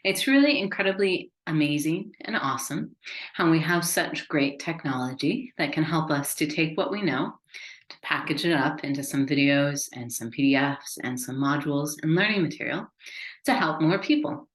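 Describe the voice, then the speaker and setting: Low-voiced upbeat American woman. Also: expressive, moderate pace. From United States. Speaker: business consultant. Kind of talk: webinar presentation. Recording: echoey room.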